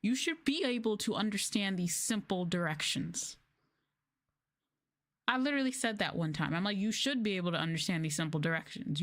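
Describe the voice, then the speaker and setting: A woman's voice: medium pitch, fairly bright tone. A woman chatting casually on a livestream, telling stories in informal, slangy language with an exasperated manner. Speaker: livestreamer and former English teacher.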